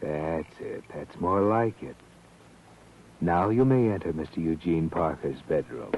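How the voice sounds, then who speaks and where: An actor playing an ominous, mocking all-knowing narrator of an old 1940s radio mystery, taunting and deliberate. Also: male voice, rumbling low, a little hiss or hum. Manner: sardonic, taunting, haunting deliberate delivery. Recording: dull old-time radio recording, faint music.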